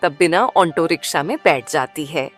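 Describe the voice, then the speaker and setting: A woman's voice: deep voice, normal speed, soft background music. A woman reading scripted narration as the storyteller of a cartoon tale.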